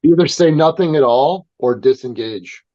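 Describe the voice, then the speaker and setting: A man's voice: a little high, normal speed, dark tone, no echo, highs cut off. A man guest on a podcast, explaining self-defense advice in an earnest, conversational way. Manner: earnest, explains with hypothetical quotes.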